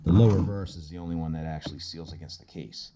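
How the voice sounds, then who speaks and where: A middle-aged man giving a hands-on technical explanation as a mechanic, matter-of-fact and halting as he thinks aloud. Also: a bass voice, steady tone, warm tone, highs cut off, a little hiss or hum. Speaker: auto mechanic instructor.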